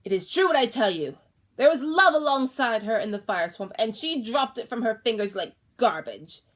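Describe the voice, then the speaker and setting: A woman voicing a fierce, withered old woman who loudly scorns a queen in an audiobook. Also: on the high side, lively intonation, pitched above the performer's own voice. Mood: scornful. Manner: loud, scornful, drawn-out accusing. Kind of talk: audiobook character voice.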